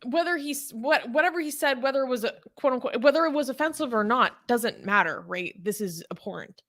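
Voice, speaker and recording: A woman reads news stories aloud and then talks them through in a casual, chatty way, with plenty of fillers. Her voice is bright, and it climbs noticeably when she gets worked up about an injustice. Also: brisk. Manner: animated, rises in pitch when outraged. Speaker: co-host reading news.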